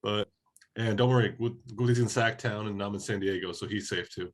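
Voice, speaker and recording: A man from Oregon co-hosting a soccer podcast, talking in a relaxed, casual way while slightly hoarse from a head cold. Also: fairly low pitch, fairly quick. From Oregon, USA. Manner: laid-back, conversational, many fillers. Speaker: podcast co-host.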